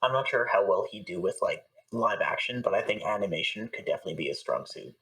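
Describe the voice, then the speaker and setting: A man's voice: lowish voice, lively intonation, fast talker, fairly bright tone, distant mic, rough recording. A man chatting casually as an interview guest, with hesitant, thoughtful phrasing. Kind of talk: casual chat.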